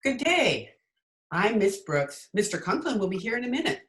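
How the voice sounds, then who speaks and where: A woman playing a wry, quick-witted schoolteacher in old-time radio comedy style, with dry wisecracks. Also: medium pitch, very animated, background noise, close to an everyday voice. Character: wry, quick-witted female schoolteacher covering for her boss.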